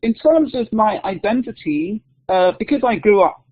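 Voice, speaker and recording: A woman's voice: medium pitch, lively intonation, normal speed, breathy edge. A young woman, an author, answering questions thoughtfully in an online discussion, with lots of "you know" fillers. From England, near London. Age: young adult. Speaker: author guest. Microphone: online call audio. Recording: tinny phone-like line.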